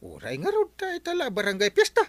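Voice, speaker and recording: A man's voice: extremely high pitch, wildly swooping pitch, fast talker, no room sound, clean studio sound, heavily acted. A man playing a bumbling middle-aged village captain in a comedy radio drama, exclaiming and easily flustered. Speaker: barangay captain.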